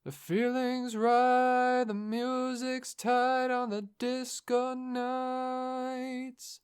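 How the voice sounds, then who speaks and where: A man voicing a frightened teenage girl who pleads and tries to calm the others. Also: a little slow, soft background music. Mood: playful singing. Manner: high, pleading and reassuring.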